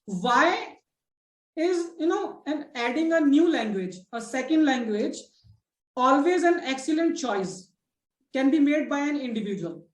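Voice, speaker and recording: A woman with an Indian English accent hosts a casual discussion, her voice sitting a little high. She talks chattily, with lots of fillers and restarts, and keeps checking in with her guests. The room has a clear, echoey ring. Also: very animated, measured pace. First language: Hindi. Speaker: host of a spoken English discussion.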